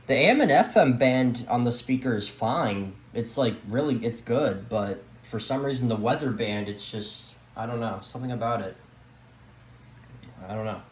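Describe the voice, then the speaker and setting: A man's voice: mid-range voice, normal speed. Hesitant man in an echoey room. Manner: hesitant, rambling, trailing off. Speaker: radio collector presenting their collection. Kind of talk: casual unscripted show-and-tell. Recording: roomy echo, light background noise.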